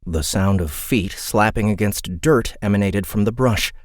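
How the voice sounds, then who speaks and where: A man narrating a fantasy audiobook in an expressive, dramatic storytelling style. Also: fairly low pitch, measured pace, dry recording, clean studio sound.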